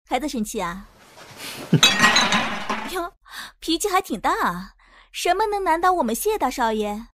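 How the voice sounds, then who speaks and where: Woman playing a shrewd, high-voiced teasing woman. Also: big pitch swings, very noisy. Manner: brisk, teasing, self-assured. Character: shrewd, teasing female confidante of a star. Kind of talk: Chinese audio drama acting.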